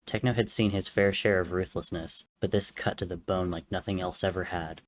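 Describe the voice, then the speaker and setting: A man's voice: deep voice, steady tone, brisk. A man reading fiction as a dramatic, scripted narrator. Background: soft music or ambience.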